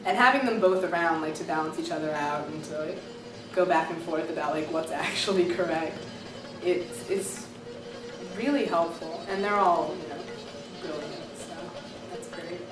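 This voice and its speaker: A woman's voice: low-pitched, normal speed. Chatty young woman student, echoey. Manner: enthusiastic, chatty with many fillers. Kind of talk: interview, casual reflection. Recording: echoey room from far off, music behind.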